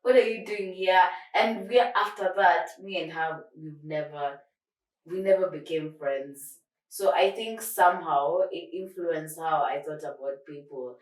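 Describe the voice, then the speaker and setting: A young woman chats on a podcast in an animated, rambling way, often breaking into laughter. Her voice sits a little low. The sound is roomy and set back from the mic. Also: a little slow. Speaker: podcast co-host. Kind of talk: casual chat. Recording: echoey room, far from mic.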